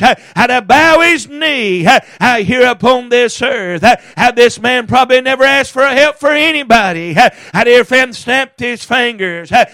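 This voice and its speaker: A man delivers a hellfire sermon in a very high, strained register, chanting each phrase and tacking on "uh, and everything" again and again. He draws key words out slowly and breaks into shouts. The sound is dry and close, with no room echo. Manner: chanted preaching cadence with constant "uh, and everything" fillers. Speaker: preacher.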